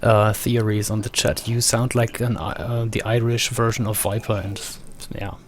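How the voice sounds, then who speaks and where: A man's voice: fairly low pitch, flat delivery, normal speed. Easygoing man casting a strategy game. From Germany. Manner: easygoing, many fillers and agreeing murmurs. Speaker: caster. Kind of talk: esports play-by-play commentary.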